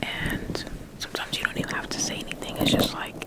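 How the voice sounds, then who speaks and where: An ASMR roleplay voice that stays in a soft, breathy whisper with almost no voicing. The delivery is slow and soothing, like personal attention given close to the microphone. Words and short phrases get softly repeated in a reassuring way. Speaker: ASMR performer.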